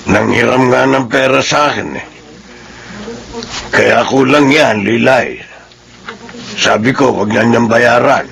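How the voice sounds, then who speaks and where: A man's voice: mid-range voice, slow pace, vocal fry, pauses often, fairly bright tone. A man acting an older, sympathetic, resigned father in a Tagalog radio drama.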